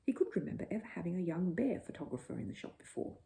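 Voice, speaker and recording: A woman narrates a children's bedtime story. Her tone is mellow and soft-edged, and her pitch moves expressively up and down as the scripted reading goes along. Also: very animated, fast, gravelly.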